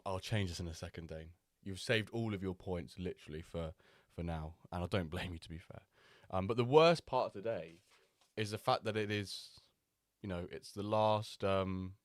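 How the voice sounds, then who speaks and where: Low-voiced man, slightly nasal. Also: dry recording. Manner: chatty, rambling, with many ums and restarts. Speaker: streamer hosting a watchalong. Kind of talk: casual live-stream chat.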